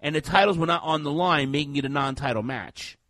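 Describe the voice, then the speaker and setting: A man co-hosting a casual wrestling podcast, talking in a rambling, animated way with many restarts. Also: fairly high pitch, brisk, no room sound. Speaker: podcast co-host.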